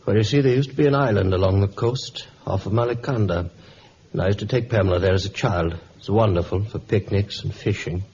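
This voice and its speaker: A man acting a middle-aged, bereaved father in a vintage radio drama, gentle, wistful and hesitant. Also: lowish voice, brisk, natural voice. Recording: muffled, hissy old radio recording.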